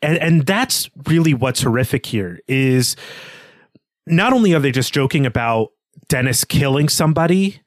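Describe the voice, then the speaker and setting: A man's voice: mid-range voice, animated, moderate pace, no echo. Man co-hosting a podcast, dry close sound. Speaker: podcast co-host. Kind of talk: literary discussion, conversational analysis.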